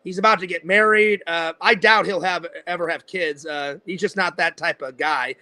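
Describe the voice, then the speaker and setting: A man's voice: high voice, fairly even intonation, moderate pace, sharp tone. A man in his forties hosting a casual interview, rambling and restarting his sentences. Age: 40s. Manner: rambling, repeats words and restarts often. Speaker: host.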